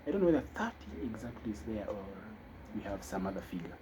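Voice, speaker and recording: A man teaching a casual spoken lesson, talking slowly and thinking aloud with fillers. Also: lowish voice, big pitch swings, noisy background. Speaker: language teacher.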